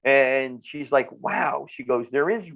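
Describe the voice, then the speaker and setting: A man's voice: medium pitch, slow pace, vocal fry, narrow, tinny audio. A middle-aged man with a New Jersey accent explains things conversationally and emphatically, repeating phrases and posing rhetorical questions. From northern New Jersey, United States. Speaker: nutrition clinician, podcast guest.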